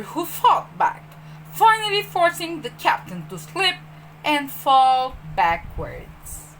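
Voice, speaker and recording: A woman storyteller narrating a children's storybook with dramatic, expressive emphasis. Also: high voice, a little hiss or hum, low-quality recording. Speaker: storyteller, teacher.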